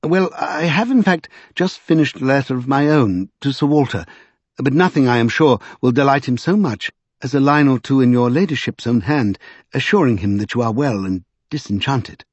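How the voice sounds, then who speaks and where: A man voicing an anxious, deferential gentleman in an audiobook, speaking in hesitant, courteous, old-fashioned phrases. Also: medium pitch, normal speed, slightly rough, dry recording, highs cut off, lightly acted. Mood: polite.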